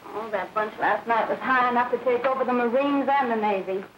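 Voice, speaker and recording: A woman's voice: fairly high pitch, brisk, muffled recording, lightly acted. An actress playing a weary, slangy, complaining woman in a 1930s film. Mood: groggy, wry. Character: weary, slangy woman running a party flat. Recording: echoey, hissy 1930s film soundtrack.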